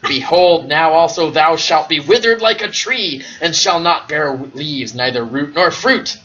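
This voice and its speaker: A man voicing a wrathful five-year-old boy who speaks slow, solemn archaic curses. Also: fairly high pitch, animated, sharp tone, highs cut off. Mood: solemn menace. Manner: slow, solemn, mock-biblical delivery.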